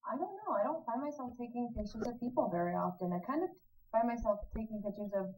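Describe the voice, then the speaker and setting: A woman's voice: fairly high pitch, quick pace, a little mellow, poor audio. A woman chatting casually as an interview guest, telling little stories and acting out quotes. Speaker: interview guest, singer-songwriter.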